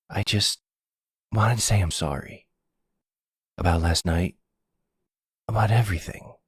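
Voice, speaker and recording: A man's voice: fairly low pitch, slightly rough, dry recording, clean studio sound, exaggerated voice. A man playing a sulky, defensive young crook, a grown son who protests and hesitates, in an ASMR roleplay drama.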